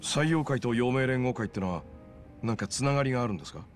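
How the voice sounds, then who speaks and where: A man playing a well-informed, sharp-witted man from Tokyo, explaining things casually in a video game drama. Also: mid-range voice, lively intonation, fast, soft background music, natural voice. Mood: probing.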